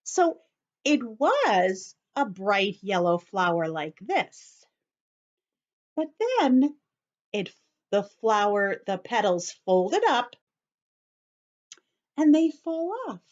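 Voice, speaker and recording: A woman reading a storybook aloud to young children in an animated, teacherly way, stopping to explain simply and ask questions. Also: mid-range voice, extremely slow, pauses often, muffled recording.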